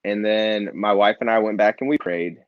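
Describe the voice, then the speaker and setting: A man, a nonprofit founder, telling his story in an interview as an earnest, animated storyteller who acts out the people he quotes. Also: fairly low pitch, normal speed, dry recording, lo-fi sound.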